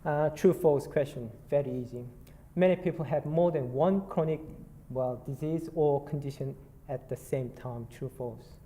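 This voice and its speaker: A man with a Korean accent giving a conference talk, halting and self-deprecating with many fillers. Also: fairly high pitch, dark tone. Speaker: nurse presenter. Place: conference hall. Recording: reverberant hall, faint background ambience.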